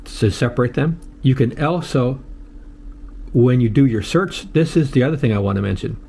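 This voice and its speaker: An older American man giving a casual, unscripted tutorial in a halting, plain-spoken way, often repeating himself. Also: mid-range voice, vocal fry, warm tone, breathy edge. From United States. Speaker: host of a how-to video channel.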